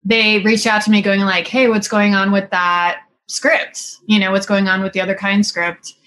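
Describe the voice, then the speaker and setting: A woman in her thirties who grew up in Singapore talks through her filmmaking as an interview guest. Her voice sits at an ordinary middle pitch, and she strings thoughts together loosely with plenty of "like" and "you know." She laughs easily. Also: flat delivery, normal speed, a bit bright. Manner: chatty, full of "like" and "you know" fillers, laughs often. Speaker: film director and cinematographer guest. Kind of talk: casual interview chat.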